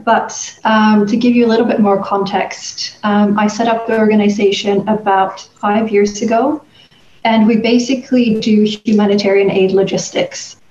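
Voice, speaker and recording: A woman's voice: medium pitch, darkish tone. Woman panelist, roomy muffled audio. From Sweden. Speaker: panelist, nonprofit founder. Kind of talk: conversational explanation on a panel.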